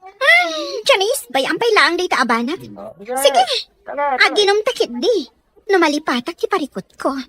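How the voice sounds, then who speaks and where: A woman acts as a rebellious, resentful young daughter with an Ilocano accent. The voice is extremely high and swings widely in pitch. It is podcast comedy-drama, full of grievance. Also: sing-song, normal speed, crowd noise. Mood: bitter, aggrieved.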